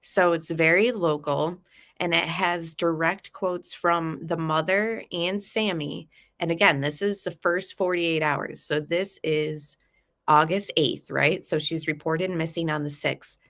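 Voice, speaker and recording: A woman's voice: deep voice, fairly even intonation, a little slow, fairly bright tone. A woman with a stuffy, nasal voice from a cold, chatting casually with her viewers as she talks through a true crime case. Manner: chatty, addresses viewers as guys, fillers like um. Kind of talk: casual live chat commentary.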